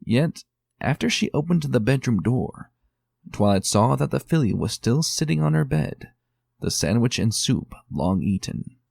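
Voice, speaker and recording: A man narrating a dramatic reading of a fan story in a measured, steady storytelling manner. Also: medium pitch, vocal fry, dry recording, clean studio sound.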